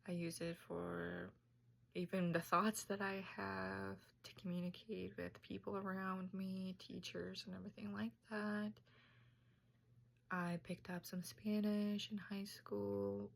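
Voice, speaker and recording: Young woman, halting student presenter, gravelly low register at a slow pace. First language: Tajik. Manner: unhurried, thoughtful, slightly halting. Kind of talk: casual class presentation video.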